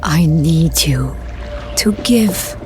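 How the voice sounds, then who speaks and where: A woman playing a controlling mother in a game cutscene, speaking slowly and deliberately with an eerie softness. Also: low-pitched, expressive, music in the background, full character voice. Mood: eerie tenderness. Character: stern mother, eerily tender, coldly manipulative. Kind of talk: dramatic game cutscene acting.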